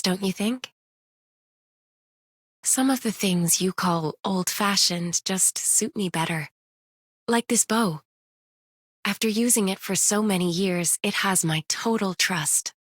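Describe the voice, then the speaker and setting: A woman voicing a gentle, composed, old-fashioned archer in a video game. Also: mid-range voice, no room sound, clean studio sound. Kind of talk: video game character voice lines.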